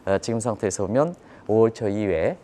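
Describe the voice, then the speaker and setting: Male economic commentator, fairly low register, explains markets to viewers with repetition and rhetorical questions. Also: lively intonation, brisk, mellow. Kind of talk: live financial news commentary.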